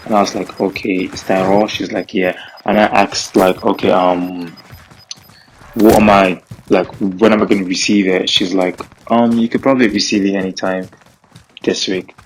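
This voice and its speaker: A man's voice: deep voice, flat delivery, normal speed, a little hiss or hum, rough recording. A young man casually telling a story, rambling and filling it with "bro" and "like". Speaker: gaming vlogger.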